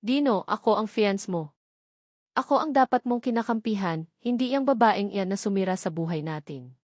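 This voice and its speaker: A woman's voice: medium pitch, animated, normal speed, a little mellow, no room sound, close to an everyday voice. Lady narrator doing desperate clingy filipino fiancee, pleading and pushy, audio drama, dry close sound. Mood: indignant. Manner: pleading, insistent. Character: scheming, desperate, possessive fiancee.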